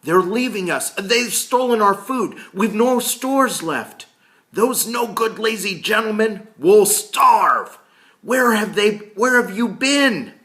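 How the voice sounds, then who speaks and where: A man reading aloud plays a desperate, hungry colonist from a historical storybook. The voice is loud and agitated, pitched up and full of indignation. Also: animated, slow pace, heavily acted. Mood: frantic outrage.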